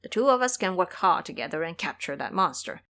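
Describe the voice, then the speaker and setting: A woman's voice: fairly low pitch, very animated, a little fast, bright, forceful tone, heavily acted. Woman doing brash dopey pig monk guy, boastful and blunt, audio drama. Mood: eager. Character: brash, foolish male pig-monk warrior.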